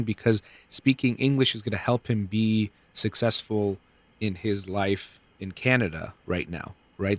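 A man hosting a call-in radio show, giving calm, measured advice with casual fillers. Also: lowish voice, no echo. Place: radio studio.

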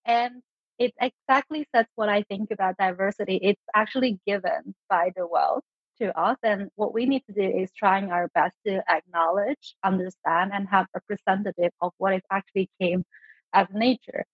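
A woman from China talks as a guest on a panel. Her voice is mid-pitched, and she sounds reflective and conversational. The recording has almost no room sound and is slightly muffled. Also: moderate pace, husky, no echo. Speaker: panel guest, product design lead.

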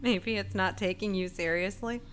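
A woman's voice: medium pitch, normal speed, fairly bright tone, clean tone, lightly acted. A woman playing a sarcastic, reckless young assistant to a scientist in a comedic audio drama. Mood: dry, confident. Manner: sarcastic, quippy.

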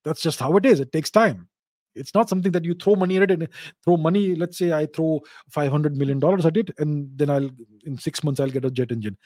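A man's voice: on the high side, fairly quick, darkish tone, dry recording, clean studio sound. A man with an Indian accent hosting a question-and-answer show, giving unscripted explanations and repeating key phrases for emphasis.

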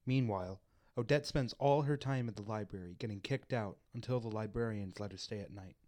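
A man's voice: fairly low pitch, fairly quick, a little mellow. A man reading scripted narration for a radio play.

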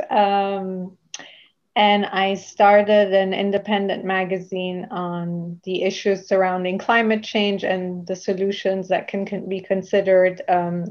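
A woman's voice: mid-range voice, a little mellow. A woman from Luxembourg, a magazine founder, answering interview questions in a matter-of-fact way over a video call. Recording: slightly dull sound.

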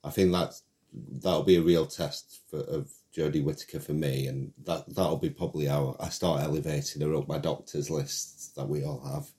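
A man with a raspy voice, co-hosting a film podcast and talking hesitantly, often restarting his sentences. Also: extremely low pitch, steady tone, moderate pace, warm tone. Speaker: podcast co-host. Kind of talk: casual chat.

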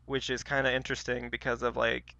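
A man's voice: mid-range voice, flat delivery, fairly quick, creaky, sharp tone, dry recording, lo-fi sound. A man co-hosting a podcast in casual, informal chat with slangy wording. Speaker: podcast co-host.

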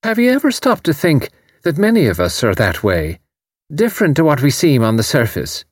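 Man as a probing old Irishwoman, raised pitch. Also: huge pitch swings, normal speed, husky, no room sound, studio quality, exaggerated voice. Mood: insinuating. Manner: insinuating, emphatic, cuts in bluntly. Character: genial but probing, overbearing older Irish grandmother. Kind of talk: audiobook character voice.

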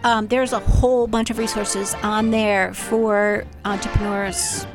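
A woman attorney with a high voice, a guest on a radio talk show, speaking frankly and laughing at herself as she explains. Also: little pitch movement, a little slow, over music. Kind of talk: casual radio interview.

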